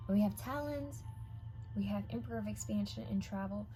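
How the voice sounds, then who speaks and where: Gravelly-voiced woman tarot reader. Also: medium pitch, brisk, dark tone, soft background music, rough recording. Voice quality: slightly raspy from many readings. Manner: intuitive, addresses viewers directly, drops to whispers while reading cards. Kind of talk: casual pick-a-card tarot reading.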